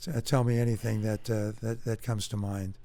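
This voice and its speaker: Male interviewer, casual and halting; frequent restarts mid-question. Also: lowish voice, flat delivery, gravelly, warm tone. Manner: hesitant, many fillers and restarts. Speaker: interview host.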